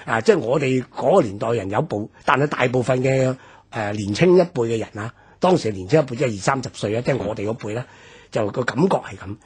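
A man's voice: medium pitch, animated, fast talker, breathy edge. A man in his sixties with a Hong Kong Cantonese accent, recounting memories and history in a reflective radio talk. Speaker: radio commentator.

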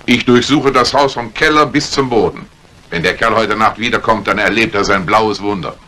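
An actor playing a brusque, authoritative police inspector in a 1950s German radio crime drama, questioning and giving orders deliberately. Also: male voice, on the low side, pushed and bright. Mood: grave, determined. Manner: probing, commanding, deliberate. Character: brusque, authoritative middle-aged male police detective inspector. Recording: noisy vintage 1950s radio drama recording.

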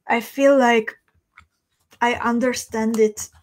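Slow-talking Hungarian-accented woman, higher voice. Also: fairly even intonation. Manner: halting, thinking aloud. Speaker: host of a live beading tutorial. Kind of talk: casual livestream chat. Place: small indoor craft room.

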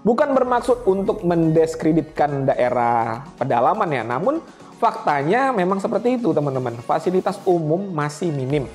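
Man in his thirties, slightly high voice, conversational explainer, rhetorical questions, light music behind. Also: big pitch swings, moderate pace, a little mellow. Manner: conversational, addresses viewers as friends with rhetorical questions. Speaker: air traffic controller and channel host. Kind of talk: explanatory talk to camera. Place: small indoor room, studio-like set. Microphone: clip-on lapel mic.

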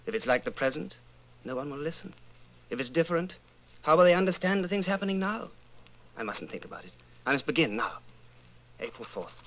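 An actor playing a brooding, fearful middle-aged secret rebel, speaking an intense, halting inner monologue in a radio drama. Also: male voice, on the high side, animated, fairly bright tone, rough recording. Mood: anxious. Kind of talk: old-time radio dramatization, interior monologue.

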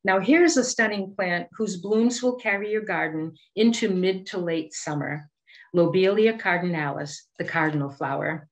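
Female presenter, middle-aged, Boston accent, lowish voice, measured scripted delivery for an educational slide talk on video call. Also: rough recording. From Boston area, United States. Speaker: master gardener giving a presentation.

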